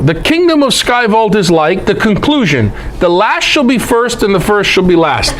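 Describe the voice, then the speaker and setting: A man's voice: high voice, slight background noise, rough recording. A man lecturing on scripture in an emphatic, conversational way, with rhetorical questions and folksy asides. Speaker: presenter and Bible teacher. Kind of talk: animated lecture on scripture scholarship.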